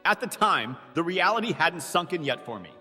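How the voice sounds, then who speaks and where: A wistful orphaned boy recalls his past in a bright, high voice, played by a man. He talks quietly and reflectively, swinging widely in pitch. A long hall reverb trails after his words. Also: very animated, moderate pace, soft background music, full character voice. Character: orphaned boy looking back. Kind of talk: anime dub narration.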